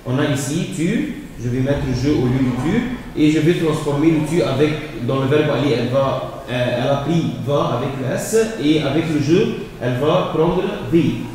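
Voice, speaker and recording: A man teaching a French lesson, explaining exercises slowly and hesitating with repeated words and fillers. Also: a little high, darkish tone, slight background noise. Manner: hesitant, repeats words and fills pauses with euh. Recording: echoey room, voice from far off.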